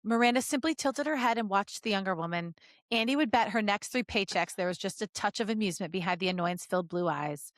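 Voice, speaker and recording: A woman podcast host reading fan fiction aloud as an expressive story narrator. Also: medium pitch, fast, dry recording, studio quality.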